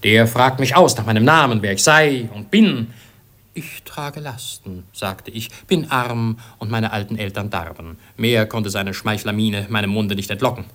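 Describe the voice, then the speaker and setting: An actor playing a proud, impetuous young exiled prince in a verse radio play, with husky, declamatory delivery. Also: male voice, on the low side, moderate pace, a bit bright, lightly acted. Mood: solemn, proud. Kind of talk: classical German radio play acting in verse.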